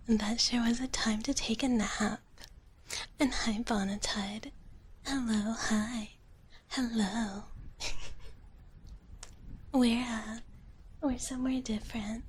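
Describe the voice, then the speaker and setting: A woman hosting an ASMR livestream in a soft, breathy whisper, with a playful manner of greeting and chatting. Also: fairly high pitch. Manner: playful, refers to self in third person. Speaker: livestream host, virtual streamer.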